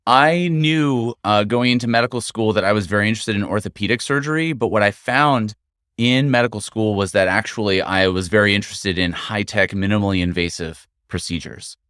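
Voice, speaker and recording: A middle-aged American man, a guest doctor, talking through his career in a measured, articulate way. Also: fairly low pitch, a bit bright, clear, resonant tone, dry recording, studio quality. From United States. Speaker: guest interventional radiologist. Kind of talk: conversational interview. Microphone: studio microphone.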